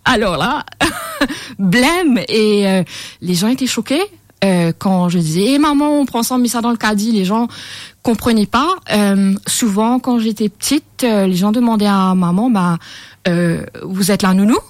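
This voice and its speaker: A woman's voice: mid-range voice, lively intonation, unhurried, no echo. A woman from Mauritius, a guest on a radio show, talking hesitantly with many fillers and a few English words mixed in. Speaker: guest, grief recovery specialist. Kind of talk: radio interview. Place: radio studio.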